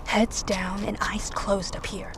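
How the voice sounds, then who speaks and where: An actress playing a wary, resolute leader of fugitives in an audio drama, breathy, hushed and terse. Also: female voice, mid-range voice, flat delivery, unhurried, whispered, close to an everyday voice, low-quality recording. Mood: cautious, hushed.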